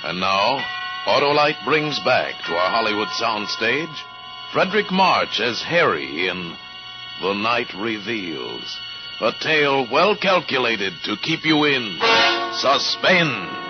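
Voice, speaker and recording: Slow, dramatic male radio announcer. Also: mid-range voice, very animated. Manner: measured, dramatic. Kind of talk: 1940s radio announcing, scripted introduction. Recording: muffled old radio broadcast. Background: loud music.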